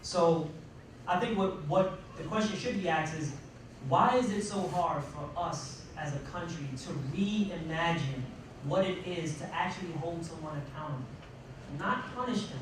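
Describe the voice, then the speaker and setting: A man with a fairly high voice speaks off the cuff on a panel. He is emphatic, breaking his sentences with pauses and rhetorical questions. The sound is very reverberant, with clear noise in the room. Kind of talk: panel discussion remarks, unscripted. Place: large indoor room at a college panel event. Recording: echoey, noisy room, distant microphone.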